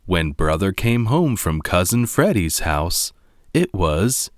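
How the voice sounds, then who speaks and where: A man narrating a children's storybook as a read-along, with a deliberate, expressive delivery. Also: low voice, no room sound, clean studio sound.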